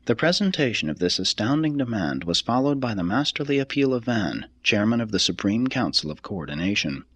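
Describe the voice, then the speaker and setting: A man with a fairly low, husky voice narrates a solemn religious text. His delivery is formal and deliberate, with lively rises and falls in pitch. The sound is dry and close, with no room around it. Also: no room sound. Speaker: audiobook reader.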